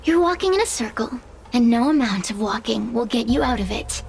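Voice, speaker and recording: A woman voicing a sly, seductive possessing spirit in a video game, teasing and quietly menacing. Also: fairly high pitch, animated, gravelly, a little hiss or hum. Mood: sly, alluring. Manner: silky, teasing, deliberate.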